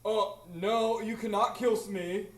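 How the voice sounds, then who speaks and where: A man's voice: high voice, fairly even intonation, takes it slowly. A man playing a dim, timid, loyal pirate henchman in a live radio drama, hesitant and bumbling. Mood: flustered. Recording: echoey stage, off microphone.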